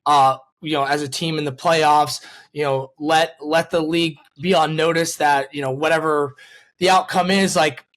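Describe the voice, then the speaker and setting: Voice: mid-range voice, steady tone, normal speed, fairly bright tone. An interviewer hosting a casual sports conversation in a rambling, conversational and enthusiastic way. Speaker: podcast host and interviewer.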